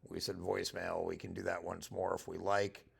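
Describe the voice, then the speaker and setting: A man with a gravelly, husky voice, casually walking through a software demo and thinking aloud as he goes. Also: sits low, little pitch movement, moderate pace. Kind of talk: casual screen-share product walkthrough.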